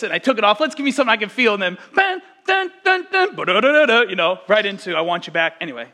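High-voiced pastor telling stories. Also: male voice. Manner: conversational, storytelling, animated asides to congregation. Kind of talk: sermon.

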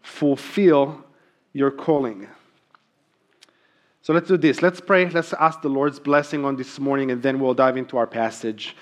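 A man's voice: mid-range voice, steady tone, unhurried. A man preaching a sermon as a church pastor, earnest, with frequent ums and emphatic repeated key phrases. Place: church sanctuary.

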